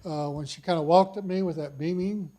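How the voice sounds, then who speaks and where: A man's voice: fairly high pitch, fairly quick, warm tone. An older man with a big voice, telling his own cancer story in a folksy, self-deprecating way to a conference audience. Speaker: cancer survivor speaker. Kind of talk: personal story talk. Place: conference hall.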